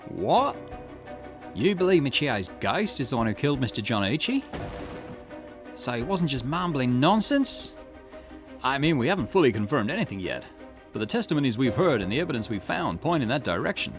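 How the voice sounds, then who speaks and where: A man reads a mystery game's dialogue aloud for his channel, playing one character after another in a mid-range voice. His pitch swings widely from line to line. Game music plays clearly underneath him. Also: normal speed. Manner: switches between character lines with lively pitch swings. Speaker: gaming channel host. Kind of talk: reading game dialogue aloud in a let's play.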